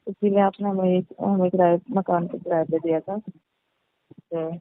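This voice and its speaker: A woman's voice: lowish voice, steady tone, a little slow, lightly acted. A woman playing a placating, coy married tenant on a phone call, speaking in a repetitive, evasive way. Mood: apologetic, placating. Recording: echoey, tinny narrowband phone line.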